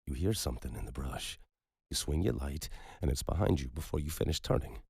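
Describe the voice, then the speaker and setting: Man reading an injured, haunted hunter, very deep voice, husky, halting. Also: expressive, a little mellow, no echo, clean studio sound, full character voice. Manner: halting, insistent. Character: wounded, haunted male hunter.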